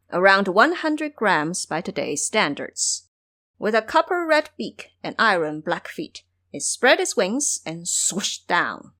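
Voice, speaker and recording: A woman's voice: mid-range voice, sharp tone. A woman narrating a scripted fantasy adventure as a storyteller.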